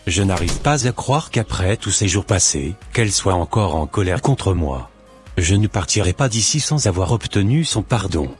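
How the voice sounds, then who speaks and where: A man playing a remorseful, lonely husband in a scripted cartoon drama, speaking in an earnest, deliberate way. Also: low-pitched, very animated, soft background music. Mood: pleading. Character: remorseful, lonely husband swallowing his pride. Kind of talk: scripted animated drama monologue and dialogue.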